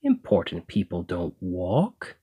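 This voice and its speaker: A man's voice: low voice, huge pitch swings, takes it slowly, warm tone, slightly rough, no echo, studio quality, full character voice. A man playing a chatty, cheeky stand-in carriage driver in an audio roleplay, talking casually and awkwardly to the listener with sly insinuation. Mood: coaxing, sheepish. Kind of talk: ASMR roleplay acting, addressing the listener.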